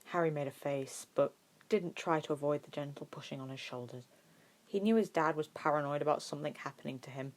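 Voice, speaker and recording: A woman reading fiction aloud as an amateur audiobook narrator, in expressive scripted narration. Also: low-pitched, fairly quick.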